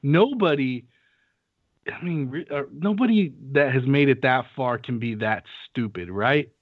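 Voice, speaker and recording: A man's voice: medium pitch, lively intonation, unhurried, no echo. A man co-hosting a podcast in casual banter. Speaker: podcast co-host. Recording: muffled phone-like sound, dry.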